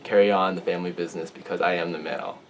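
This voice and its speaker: A man's voice: deep voice, steady tone, racing, low-quality recording. A young male student answering casually in an interview. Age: young adult. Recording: faint background room noise.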